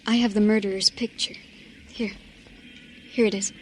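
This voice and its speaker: An actress playing a prim, earnest tourist who is a witness in a 1940s radio crime drama, polite and matter-of-fact. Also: female voice, mid-range voice, flat delivery, normal speed, a little hiss or hum, lightly acted.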